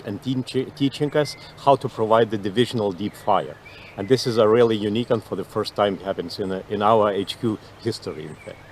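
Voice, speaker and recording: Military guy, non-native english accent, lowish voice, keeps restarting words, faint outdoor noise behind. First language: not English. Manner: halting, repeats words. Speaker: military officer. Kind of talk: on-camera interview explanation.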